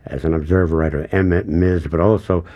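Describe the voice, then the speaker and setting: A man's voice: a little fast, muffled recording. An older man interviewing a guest, telling stories animatedly and acting out the people he quotes, his voice jumping up for emphasis. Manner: animated storyteller, acts out quotes, pitch jumps up for emphasis. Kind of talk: conversational interview.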